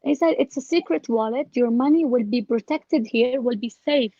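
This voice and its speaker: A woman telling a personal story as an interview guest, casually and with animated retelling of exchanges. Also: on the high side, normal speed, dry recording, highs cut off. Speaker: interview guest, scam victim.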